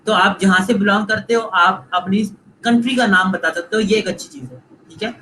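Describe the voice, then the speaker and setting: A man's voice: high-pitched, fairly quick, pushed and bright, slight background noise, rough recording. A man with a Pakistani Urdu accent giving a live online lecture, coaching students and repeating points while mixing in English phrases. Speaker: online course instructor.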